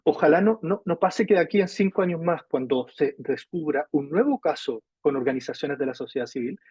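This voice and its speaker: A man's voice: a little high, a little fast, darkish tone, breathy edge, dry recording. A man with a Chilean accent presenting a webinar in an explanatory, emphatic way, posing questions and answering them himself. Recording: muffled lo-fi webinar audio.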